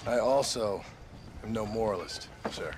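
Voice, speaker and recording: An actor voicing a laconic, weary outlaw-turned-hunter who speaks in a dry, terse and polite way. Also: male voice, lowish voice, measured pace, natural voice. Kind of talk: video game cutscene acting. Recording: noisy outdoor game soundscape.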